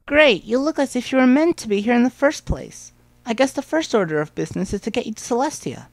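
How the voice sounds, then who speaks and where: A young female cartoon pony, busy and organised , put on by a male reader. The voice is pushed up high. It sounds close and dry. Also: expressive, fast talker, no echo. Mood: eager, fussing. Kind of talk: amateur dramatic reading of fan fiction.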